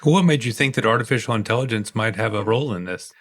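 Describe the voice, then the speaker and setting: Male podcast co-host, lowish voice, measured, polite. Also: lively intonation. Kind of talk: interview hosting.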